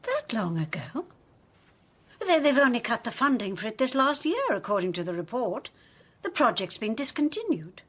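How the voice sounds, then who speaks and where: A woman acting in a British radio drama as a sharp, inquisitive helper in an investigation. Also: a little high, very animated, moderate pace, slightly rough.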